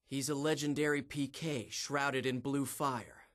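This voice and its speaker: An actor playing a grim, menacing young male avenger in an anime game dub, low-key, brooding and coolly confident. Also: steady tone, unhurried, close to an everyday voice. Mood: cold, quiet menace.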